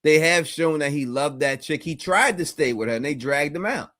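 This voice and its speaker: Male co-host, relaxed and rambling, dry close mic. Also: on the high side, normal speed, no room sound. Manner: laid-back, rambling, swears. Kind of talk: casual call-in show chat.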